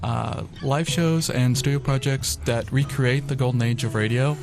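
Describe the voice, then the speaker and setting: Man explaining things over clear background music. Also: medium pitch, fairly even intonation, moderate pace. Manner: informal, explanatory. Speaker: radio theatre member, interview guest. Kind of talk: explanatory interview talk. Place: college radio studio.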